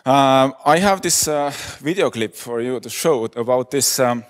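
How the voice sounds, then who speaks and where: Man giving a halting talk. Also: mid-range voice, animated. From Estonia. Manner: hesitant, many fillers. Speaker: conference speaker. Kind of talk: lightning talk presentation. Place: conference hall. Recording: echoey conference hall.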